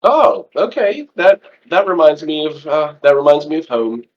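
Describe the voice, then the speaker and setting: A man's voice: fairly high pitch, sing-song, normal speed, lo-fi sound. A man voicing a male alien at a human party, speaking in stilted, formal, broken phrases. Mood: puzzled. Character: stiff male alien faking human manners.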